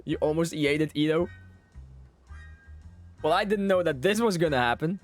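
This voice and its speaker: A man's voice: fairly high pitch, moderate pace. A man giving casual, playful live gameplay commentary as a streamer, often repeating his phrases. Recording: game music behind the voice. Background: video game music.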